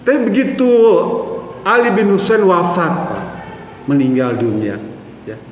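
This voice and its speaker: A man's voice: high-pitched, sing-song, unhurried, a little hiss or hum. A man giving a sermon, telling stories and often addressing the listeners as "Pak". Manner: addresses the audience as "Pak", tells stories with acted quotes. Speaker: preacher. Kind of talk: Islamic sermon storytelling. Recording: echoey hall with faint ambience.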